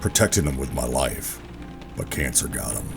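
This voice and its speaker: An extremely deep, brooding man acting as a world-weary government operative in a sci-fi horror audio drama. He is guarded and deliberate in his storytelling, with music playing clearly beneath him. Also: animated, full character voice. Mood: sad, resigned. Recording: music under the voice.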